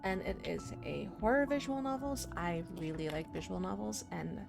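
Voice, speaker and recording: Woman streamer, slightly creaky voice over music. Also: on the low side, expressive, normal speed. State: slightly under the weather. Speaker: streamer playing a horror visual novel. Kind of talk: casual let's-play commentary, reading game text aloud.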